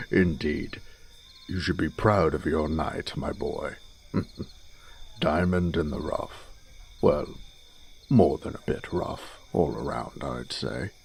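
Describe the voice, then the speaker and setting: A man acts out an older noble father in a fantasy audio drama, avuncular and dignified. The voice is rumbling and very low, gravelly and unhurried. Faint cricket-like noise runs behind it. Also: exaggerated voice. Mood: proud, jovial. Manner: stately, avuncular, measured.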